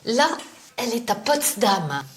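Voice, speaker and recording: An actress playing a friendly landlady in a scripted textbook dialogue, lively and hospitable. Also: fairly high pitch, measured pace, noisy background. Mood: cheerful. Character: Madame Dumas, a friendly landlady. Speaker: landlady character.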